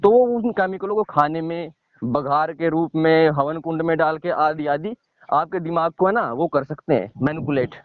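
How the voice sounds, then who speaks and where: A man's voice: fairly high pitch, normal speed, no room sound. A man explaining things informally on a phone call, emphatic and repetitive, often checking in with short tag questions. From village in India. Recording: dull lo-fi phone call recording.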